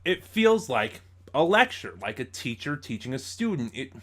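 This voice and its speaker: A man giving an informal spoken review as host, in a halting way, stumbling over words and correcting himself. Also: mid-range voice, sing-song, a bit bright.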